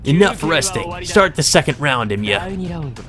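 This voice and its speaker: Man putting on a sneering young bully, raised pitch. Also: very animated, measured pace, over music. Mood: bored contempt. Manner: taunting, theatrical. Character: sneering, cruel, smug young male bully.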